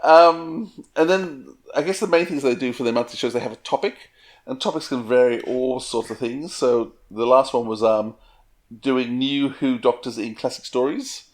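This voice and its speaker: Male podcast co-host, medium pitch, casual conversational delivery. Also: normal speed. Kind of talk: casual chat.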